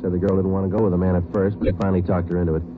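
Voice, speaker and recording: A man's voice: deep voice, level tone, rapid-fire, lightly acted. A male actor playing a terse American police sergeant, clipped and matter-of-fact, in a 1940s radio drama. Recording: noisy, tinny 1940s radio broadcast.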